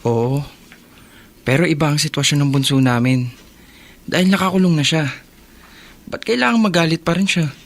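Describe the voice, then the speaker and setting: An actor playing a troubled, protective elder brother, earnest and frustrated, in a radio drama. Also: male voice, on the high side, normal speed, pauses often, close to an everyday voice. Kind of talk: Tagalog radio drama acting.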